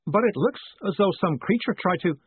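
A cool, doubtful young woman who questions every answer, pitched a bit higher, as a male narrator puts her on. The voice is husky and breathy, dry and thin like a phone line. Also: expressive, a little fast, no echo, heavily acted. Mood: skeptical. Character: sharp, skeptical young woman. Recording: dry, tinny phone-like sound.

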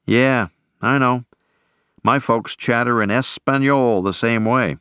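A man's voice: mid-range voice, slow pace, a bit bright, smooth, dry recording, clean studio sound. A man voicing an easygoing, wisecracking young male soldier in an audiobook. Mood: breezy. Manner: breezy, wisecracking. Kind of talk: audiobook character voice.

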